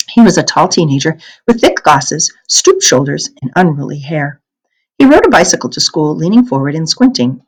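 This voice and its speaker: A woman with a low voice reads a children's novel aloud. Her delivery is deliberate and expressive, with a very animated, varied pitch as she narrates the story. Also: slightly rough, poor audio.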